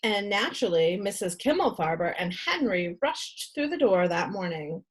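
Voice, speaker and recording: A woman reading a storybook aloud to children in an expressive storyteller's style. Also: mid-range voice, moderate pace, low-quality recording. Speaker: read-aloud host and storyteller.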